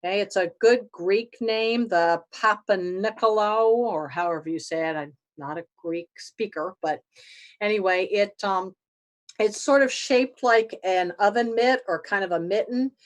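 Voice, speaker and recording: A woman's voice: mid-range voice, a little slow. A woman teaching an anatomy class in an emphatic, conversational, plain-spoken way. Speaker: biology professor. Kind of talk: informal classroom lecture on anatomy.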